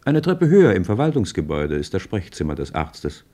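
A man's voice: sits low, huge pitch swings, brisk, mellow, heavily acted. An actor playing a knowing male narrator in a radio play, conversational and wry as he follows his hero. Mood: wry, familiar. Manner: conversational, wry, present-tense commentary. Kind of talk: scripted radio drama narration.

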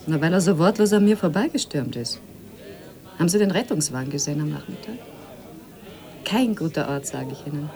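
An actress playing an edgy, sardonic conference guest with mocking wit in a radio drama. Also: female voice, low voice, expressive, brisk, long pauses, darkish tone. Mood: uneasy. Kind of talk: German radio drama acting.

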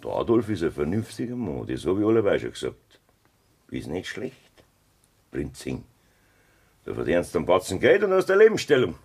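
An actor with a raspy voice and Bavarian accent playing a cold, threatening farmer who bullies his family in a radio drama. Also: sits low, huge pitch swings, moderate pace, long pauses, darkish tone, heavily acted. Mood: smug, calmer. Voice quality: raspy, gravelly. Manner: taunting, threatening. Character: cold, menacing farmer bullying his wife and mother. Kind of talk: German dialect radio drama acting.

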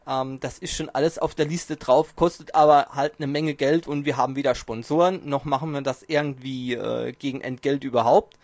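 A man's voice: mid-range voice, steady tone, moderate pace, few pauses, darkish tone, dry recording. A man hosting a casual podcast from a small home studio, chatting in a jokey, self-mocking way, his mic distance shifting now and then. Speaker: podcast host. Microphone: home mic, distance varies.

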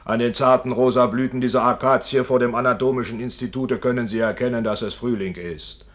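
A man's voice: mid-range voice, steady tone, fairly quick, natural voice. A man playing a theatrical fairground ballad showman in a radio play, declaiming florid, courteous patter to a crowd. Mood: florid, explanatory. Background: music.

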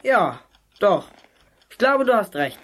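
A woman's voice: mid-range voice, very animated, takes it slowly. A woman acting a hesitant, evasive school pupil in a radio play.

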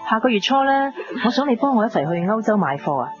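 An actress playing a businesslike boutique boss in a radio drama, matter-of-fact and instructing, with a Hong Kong Cantonese accent. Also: female voice, fairly high pitch, big pitch swings, fast talker. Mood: brisk, instructing. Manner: matter-of-fact, instructing, mixes in English words. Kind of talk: 1990s radio drama acting. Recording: dull lo-fi radio recording, music behind.

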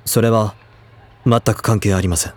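A man playing a polite, serious, reserved high-school boy in an audio drama, speaking formally and with restraint. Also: fairly even intonation, moderate pace, natural voice. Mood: restrained, apologetic. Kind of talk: anime-style audio drama acting.

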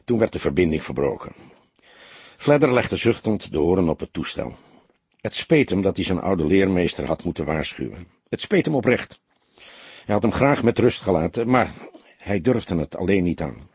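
A man's voice: sits low, big pitch swings, normal speed, darkish tone, breathy edge, dry recording. A man narrating a crime novel as an audiobook, reading scripted prose in a dramatic storytelling style.

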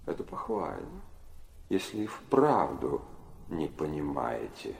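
Brazen middle-aged intriguer, radio play. Rock-bottom creaky voice, wildly swinging intonation, insinuating questions. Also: male voice, very deep voice, wildly swooping pitch, takes it slowly, a little hiss or hum, exaggerated voice. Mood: sly, insinuating. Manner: wheedling, prodding with short repeated questions. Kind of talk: radio drama acting.

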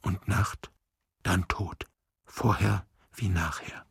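An actor playing a terse philosophical speaker in an experimental radio play, reciting short fragments in a gravelly, husky voice. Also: male voice, low voice, fairly even intonation, takes it slowly, fairly bright tone, full character voice. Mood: terse, somber. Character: terse philosophical male speaker of cryptic aphorisms.

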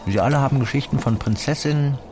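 An actor playing a bitter, cynical detective in a radio play, talking low and subdued. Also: male voice, quick pace, a little mellow, close to an everyday voice. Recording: noticeable background noise.

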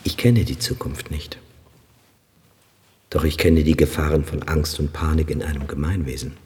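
An actor in a German radio drama playing a grave, cautious Armenian high priest, speaking in a measured, solemn and resigned way. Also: male voice, fairly even intonation, a little mellow, long reverb tail, a little hiss or hum.

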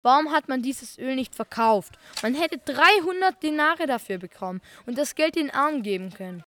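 High, agitated Bible-drama character. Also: female voice, expressive, normal speed, a bit bright. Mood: indignant. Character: indignant objector and startled onlooker in Bible drama.

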